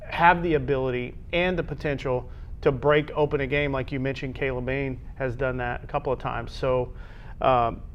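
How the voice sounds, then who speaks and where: A man hosting a conversational sports show, with halting phrasing that often repeats itself. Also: mid-range voice, muffled recording, a little hiss or hum. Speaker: sports talk show host.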